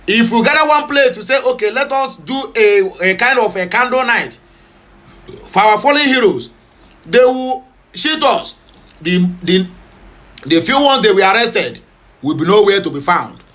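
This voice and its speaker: Man with a nigerian pidgin accent, high voice, fiery news commentary. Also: sharp tone. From southeastern Nigeria. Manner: passionate, switching between Pidgin, English and Igbo.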